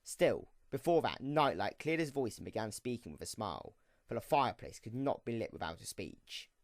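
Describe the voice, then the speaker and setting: A man narrating a scripted story for an audio drama in an expressive storytelling manner. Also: mid-range voice, normal speed, dry recording, clean studio sound.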